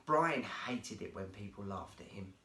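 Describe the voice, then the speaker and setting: A man narrating a children's story as a guest storyteller, in an animated, theatrical manner. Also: on the low side, measured pace. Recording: roomy, echoey sound.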